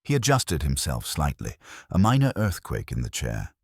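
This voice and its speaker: An extremely low-voiced man narrates detective fiction with a husky grain. His delivery is wry and dramatic, rising and falling in lively swings, recorded dry and close. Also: normal speed, no echo. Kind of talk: scripted first-person detective story narration.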